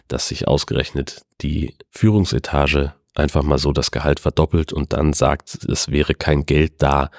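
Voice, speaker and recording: Very deep-voiced man, chatty podcaster. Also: no room sound, studio quality. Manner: chatty, rambling storytelling with wry asides. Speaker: podcast host. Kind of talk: casual solo podcast monologue. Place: at home.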